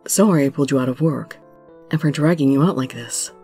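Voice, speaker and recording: Woman voicing an earnest, low-pitched lovesick young man. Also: a little fast, dark tone, husky, faint music behind, full character voice. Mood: jealous, urgent. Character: earnest lovesick young male musician, intense and pleading. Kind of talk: dramatic romance story reading.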